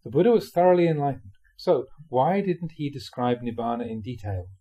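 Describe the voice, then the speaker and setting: A man reading Buddhist teachings aloud like a monk giving a dharma talk, with measured, emphatic phrasing. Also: mid-range voice, mellow, muffled recording.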